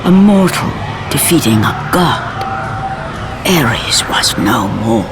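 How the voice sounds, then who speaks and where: A man narrating a mythic video game epic as a solemn, dramatic storyteller with measured delivery. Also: medium pitch, sing-song, a crowd in the background. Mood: solemn, grave. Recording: loud music underneath.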